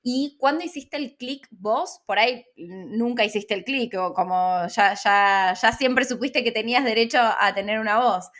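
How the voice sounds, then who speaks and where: A woman's voice: on the high side, measured pace, hardly any pauses, a bit bright. Argentine woman, hesitant casual podcast interviewer. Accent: Rioplatense Argentine Spanish. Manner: hesitant, searching phrasing with repeated words.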